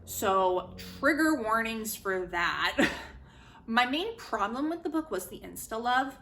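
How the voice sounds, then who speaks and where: A woman giving a casual, chatty spoken book review, retelling and commenting in an animated way. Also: fairly high pitch, slow pace, a little hiss or hum. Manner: animated, chatty, acts out quotes informally. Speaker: book reviewer, host of a literary video series.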